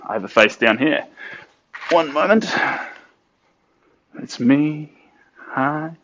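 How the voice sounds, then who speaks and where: A man's voice: a little high, expressive, takes it slowly, fairly bright tone, highs cut off, a little hiss or hum. A man, chatting casually to his viewers while he paints. He stays upbeat and talkative and drifts into snatches of song. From New Zealand. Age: late 20s. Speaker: artist streaming while painting. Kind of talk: casual live-stream chat while painting.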